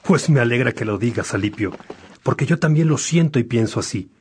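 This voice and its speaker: A man playing an earnest, reflective bishop in a religious radio drama, speaking in a measured, pastoral way. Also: medium pitch, expressive, a little mellow, slight background noise, close to an everyday voice. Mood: warm, glad. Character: Saint Augustine, earnest reflective bishop among friends.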